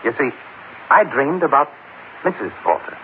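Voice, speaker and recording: An actor playing a shrewd, hard-boiled crime photographer with slangy, deliberate phrasing, in 1940s radio drama style. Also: male voice, medium pitch, sing-song, natural voice. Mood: coolly insinuating. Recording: tinny, noisy old radio recording.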